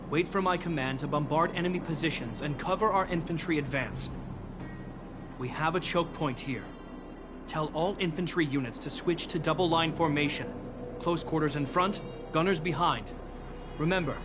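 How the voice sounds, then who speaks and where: Game casting: male actor as young army captain, fairly high voice, formal, resolute, music underneath. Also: lightly acted. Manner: formal, commanding. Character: earnest, duty-bound young military commander, resolute. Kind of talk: video game voice acting.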